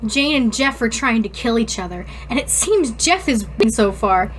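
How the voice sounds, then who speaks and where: A woman's voice: a little high, expressive, normal speed, slight background noise. A woman co-hosting a podcast, chatting casually and reading fan fiction aloud in an irreverent, slangy way. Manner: irreverent, slangy, swears freely. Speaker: podcast co-host.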